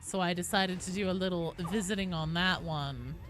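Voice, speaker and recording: A woman hosting a game livestream, chatting casually with viewers in an animated, sweary, self-deprecating way. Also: fairly low pitch, normal speed, soft background music. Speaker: livestream host. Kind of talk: casual game-stream chat, answering viewer comments. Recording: clear background noise behind voice.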